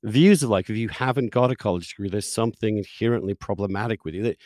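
A man's voice: on the low side, fast talker, a little mellow, no echo, clean studio sound. A man hosting an interview in a conversational, discursive style. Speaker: podcast host, interviewer.

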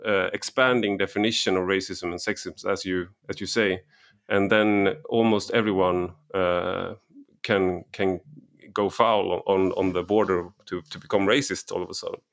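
A man from Sweden talking casually as a guest, halting, with many fillers and restarts. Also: low-pitched, steady tone, gravelly. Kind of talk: casual conversation.

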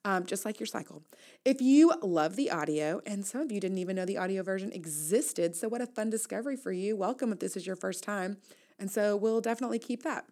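A woman's voice: mid-range voice, fairly quick, darkish tone. A woman hosting an audio newsletter, reading her casual commentary aloud in a wry, playful, conversational way.

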